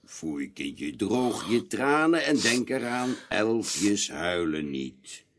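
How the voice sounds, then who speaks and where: A man's voice: on the low side, big pitch swings. An actor playing a stately old elf king and father in a fairy-tale radio play, speaking with solemn dignity. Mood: stern, soothing. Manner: solemn, deliberate, dignified delivery.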